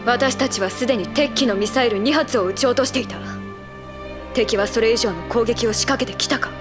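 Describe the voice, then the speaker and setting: A woman's voice: a little high, brisk, over music, close to an everyday voice. A woman voice actor playing a principled naval second-in-command, speaking formally and earnestly in a military audio drama. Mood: grave, reproachful.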